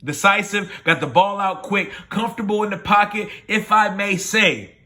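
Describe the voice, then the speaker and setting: A hoarse man ranting casually and with lots of swearing in a sports fan video recap. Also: sits high, normal speed. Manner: animated, profane, opinionated. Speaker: sports vlogger.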